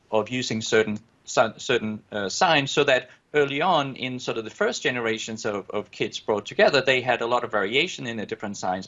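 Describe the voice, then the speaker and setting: A man's voice: mid-range voice, a bit bright. Danish-accented man, dull lo-fi sound. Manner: hesitant, many fillers and restarts. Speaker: guest researcher. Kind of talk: academic interview answer. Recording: dull lo-fi audio.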